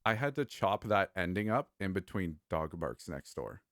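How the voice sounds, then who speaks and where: A man's voice: deep voice, sing-song, normal speed, a bit bright, dry recording, clean studio sound. A man hosting a podcast, chatting casually in a laid-back, joking way. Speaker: podcast host.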